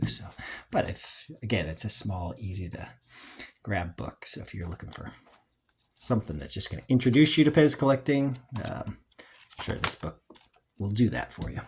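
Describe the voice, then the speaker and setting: Gravelly, low-voiced middle-aged man rambling casually. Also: lively intonation, moderate pace, slight background noise. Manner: rambling, hesitant, thinking aloud. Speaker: collector and video presenter. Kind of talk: casual unscripted video tour.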